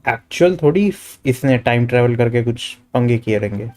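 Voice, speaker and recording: A man's voice: medium pitch, expressive, racing, a little mellow, slight background noise. A man with an Indian accent giving casual commentary as he livestreams a game. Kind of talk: casual game commentary mixing Hindi and English.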